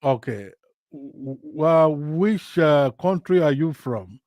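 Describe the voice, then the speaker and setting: A man hosting a live call-in talk show, halting and searching for words as he introduces and questions his guests. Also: fairly high pitch, animated, darkish tone, no echo. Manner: hesitant, many fillers and restarts. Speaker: host.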